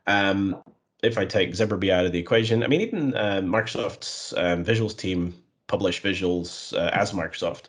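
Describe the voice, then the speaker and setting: A man in a conversational interview, speaking in a flat, monotonous way with many fillers and restarts. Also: deep voice, normal speed. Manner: self-described flat, monotonous voice. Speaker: guest, custom visual developer.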